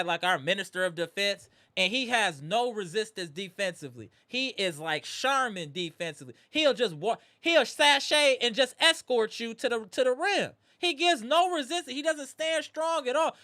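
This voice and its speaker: A man hosting a live postgame basketball reaction stream, animated and greeting viewers in the chat, his voice strained from yelling. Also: very high voice, no echo, studio quality. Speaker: livestream host.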